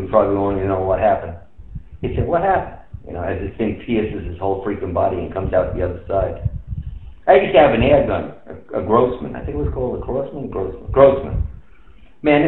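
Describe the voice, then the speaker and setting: A man's voice: on the low side, slight background noise. An American man chatting casually over amateur radio in an emphatic, streetwise way, repeating phrases to drive them home. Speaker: amateur radio operator in a round-table chat. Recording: tinny, echoey radio transmission.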